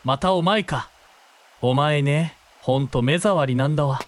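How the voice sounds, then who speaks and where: A man plays a menacing thug in a radio drama. His voice sits a little high for a man, and he talks in coarse, cocky slang with a taunting edge. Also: unhurried, a little hiss or hum, lightly acted. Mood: threatening. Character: cocky, menacing male thug pestering a shrine maiden. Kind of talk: Japanese radio drama acting.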